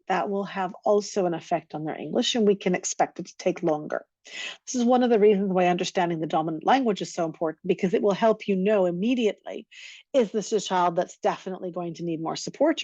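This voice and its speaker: A woman's voice: mid-range voice, dark tone, no room sound, lo-fi sound. A woman giving a recorded lecture on teaching, explaining and running through lists of examples. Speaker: teacher trainer.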